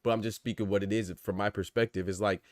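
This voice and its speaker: Low-pitched American man talking casually. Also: steady tone, fast talker, a little mellow, no room sound, studio quality. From United States. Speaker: boxing podcast host. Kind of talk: casual opinionated livestream commentary.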